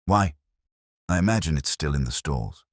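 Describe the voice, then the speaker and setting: A man puts on a dignified grey-haired church lady in a detective story. The voice stays deep and a little husky, with prim, formal phrasing. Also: lively intonation, moderate pace, no echo, studio quality.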